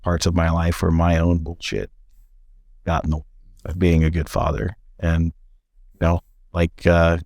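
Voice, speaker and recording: A man's voice: deep voice, completely flat delivery, a little slow, vocal fry, pauses often, darkish tone, dry recording. A middle-aged man talking reflectively in a casual podcast conversation, trailing off and restarting his sentences. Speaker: podcast co-host.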